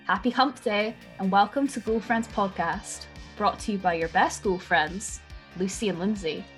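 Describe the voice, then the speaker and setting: A woman's voice: medium pitch, moderate pace, soft background music. A woman co-hosting a podcast in casual, laughing chat that drops swear words freely. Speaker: podcast co-host.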